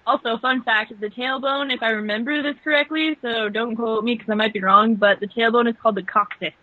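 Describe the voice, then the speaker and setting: A woman's voice: fairly high pitch, fairly even intonation, a little fast, no echo. A woman chatting casually as she riffs on a movie with friends, wry and teasing. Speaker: movie commentary host. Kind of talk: casual riffing commentary with friends. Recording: phone-like internet call.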